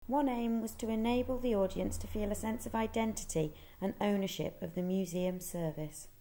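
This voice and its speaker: Woman narrator, calm measured reading, mellow tone, medium pitch. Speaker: narrator and museum project worker. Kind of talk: scripted documentary narration.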